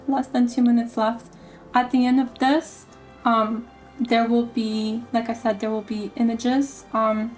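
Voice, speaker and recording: A woman's voice: a little high, a little mellow, poor audio. Woman gamer losing her voice, lots of um and repeated words, faint music behind. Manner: halting, repeats words, many ums. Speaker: gaming YouTuber. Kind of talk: casual gameplay commentary.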